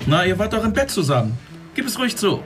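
A man's voice: high-pitched, very animated, fast talker, over music. An actor playing an agitated, prickly policeman in a radio drama, stammering and insistent as he interrogates. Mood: agitated, accusing. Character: agitated, prickly male policeman demanding formal respect. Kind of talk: German radio drama acting.

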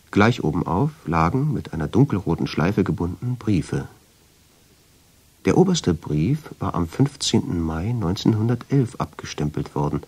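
A man playing a haunted first-person narrator in a radio drama, gravelly and breathy, telling his story in a hushed, deliberate way. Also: low-pitched, heavily acted. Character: haunted male narrator uncovering old family letters.

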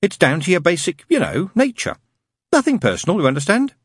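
A man's voice: high voice, wildly swooping pitch, brisk, slightly rough, no room sound, studio quality, voice pushed up, exaggerated voice. A man voicing a fussy, anxious male angel who talks in a prim, hesitant, apologetic way.

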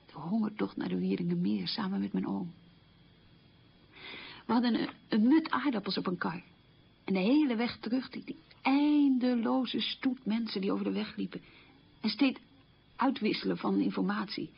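Woman haunted by wartime memories, really breathy husky voice, creaky, halting radio monologue. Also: mid-range voice, dull audio. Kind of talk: radio documentary-drama monologue, reminiscing.

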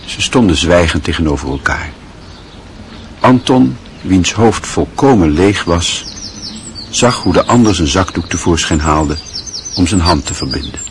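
A man narrating a radio play in a calm, measured storytelling manner. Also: sits low, slight background noise.

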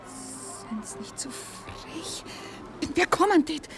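An actress playing a coy, nervous young chambermaid with a Viennese accent and a fretful, breathy manner in a radio play. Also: female voice, high voice, sing-song, takes it slowly, exaggerated voice. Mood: coy, jealous. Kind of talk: 1960s German radio play acting. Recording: 1960s radio drama with music behind.

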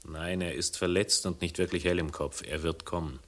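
Brief: male actor as wry noir narrator-hero, very low voice, dry and measured, radio drama with music. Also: steady tone, close to an everyday voice. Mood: calm, insistent. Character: wry first-person narrator hero of a crime story.

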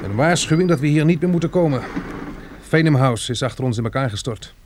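A man acting in a radio drama as a practical, sober farmer surviving a catastrophe, speaking in a measured, matter-of-fact way. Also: a little high, expressive, background noise, natural voice. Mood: grave, resigned.

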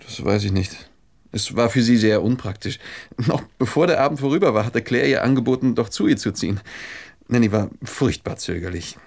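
An actor in a German radio drama playing a shy, heartbroken gentleman who speaks politely and haltingly, holding himself back. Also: mid-range voice, animated, vocal fry. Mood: wistful.

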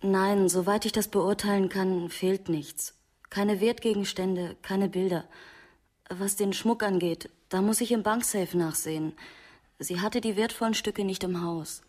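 An actress playing a murder victim's grown daughter, a new mother, subdued and measured in a radio crime drama. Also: medium pitch, fairly even intonation, a little mellow, lightly acted. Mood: subdued, factual.

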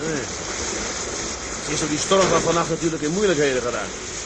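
Man acting a steady ship's captain, noisy backdrop. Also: fairly high pitch, normal speed, close to an everyday voice. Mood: calm, resigned. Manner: laconic, understated, gives orders plainly. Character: steady, weathered Dutch tugboat captain, decisive and laconic. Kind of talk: radio drama acting.

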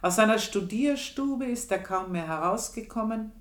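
A woman's voice: mid-range voice, animated, moderate pace, lightly acted. An older actress playing an aging housemaid who tells her story in a radio play, confiding and scornful. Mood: wistful. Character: old female housemaid, bitter and proud.

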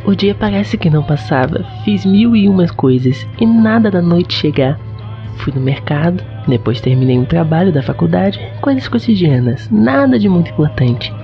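A man's voice: high-pitched, big pitch swings, normal speed. A man voicing a shy, sweet young female student in love in an amateur radio soap, with a Brazilian accent. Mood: reflective. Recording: dull lo-fi audio, faint music behind.

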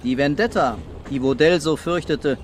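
An actor playing a brooding, terse police commissioner in a German radio crime drama. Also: male voice, on the high side, moderate pace, slight background noise, close to an everyday voice. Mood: explaining. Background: music and vehicle ambience.

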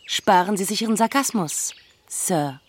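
Scornful, imperious gentlewoman. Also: medium pitch, unhurried, breathy edge. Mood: cold scorn. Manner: curt, contemptuous, commanding. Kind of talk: German radio play acting.

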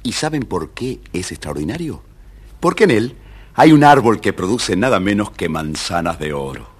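Deepish husky guy narrating a kids storybook record, really expressive. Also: normal speed. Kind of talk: dramatic scripted fairy-tale narration for children.

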